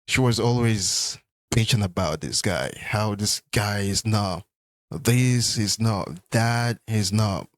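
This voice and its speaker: A man co-hosting a couples podcast, telling stories in a relaxed, unhurried way and leaving sentences for his partner to finish. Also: on the low side, no room sound, studio quality. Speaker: podcast co-host. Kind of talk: casual conversation.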